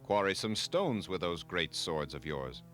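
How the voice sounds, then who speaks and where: A man's voice: low-pitched, lively intonation, unhurried, fairly bright tone, soft background music. Man as a bold, commanding hero king. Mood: brisk, commanding. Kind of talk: children's myth storytelling.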